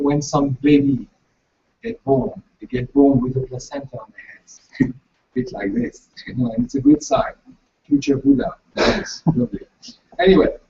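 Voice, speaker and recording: A man with a big, gravelly voice leads a practice session and walks his listeners through each step of a ritual. His mellow tone rises and falls a lot as he speaks. The recording sounds dull and lo-fi. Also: fairly high pitch. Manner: lively, switching between French and English, laughing as he explains. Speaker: meditation teacher.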